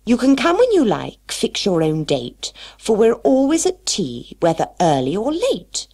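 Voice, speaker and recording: A woman's voice: a little high, wildly swooping pitch, unhurried, a little mellow, breathy edge, pitched above the performer's own voice, heavily acted. Woman playing an emphatic, eccentric Mad Hatter. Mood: proud. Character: Mad Hatter, eccentric, fussy, assertive male tea-party host.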